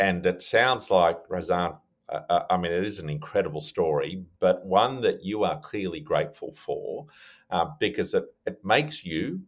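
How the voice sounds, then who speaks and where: Man, low voice, interview host, conversational, effusive, rambling questions.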